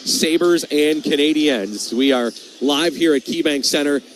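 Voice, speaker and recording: A man's voice: a little high, measured pace, a little hiss or hum. A man hosting a live radio intermission show during a hockey game, broadcasting from the arena.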